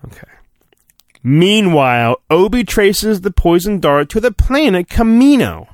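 A man giving a mock-dramatic storybook reading, theatrical in delivery, dropping into goofy, deadpan, sarcastic asides. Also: high-pitched, measured pace, no room sound, clean studio sound. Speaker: podcast host.

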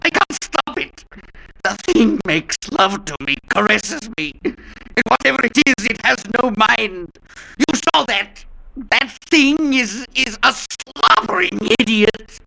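An actor playing a proud, tormented gentleman haunted by an unseen presence, intense and brooding in 1940s radio drama style. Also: very high voice, lively intonation, takes it slowly, vocal fry, full character voice. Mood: tormented disgust. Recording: scratchy, faintly noisy 1940s radio recording.

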